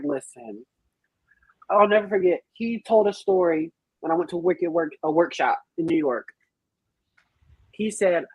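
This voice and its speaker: A woman's voice: low voice, breathy edge, no room sound, poor audio. A woman on a podcast panel telling anecdotes in casual chat, emphatic and dramatic.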